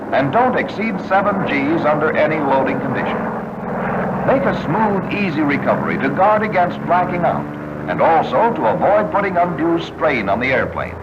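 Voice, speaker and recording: A man reading scripted instructional narration for a vintage military training film, in a measured, instructive manner. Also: on the high side, sing-song. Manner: measured, instructional delivery with clipped checklist phrasing. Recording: dull, noisy old film soundtrack, aircraft drone. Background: aircraft engine noise.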